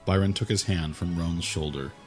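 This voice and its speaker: A man's voice: low-pitched, moderate pace. A man narrating fantasy fiction as a scripted audiobook. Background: music.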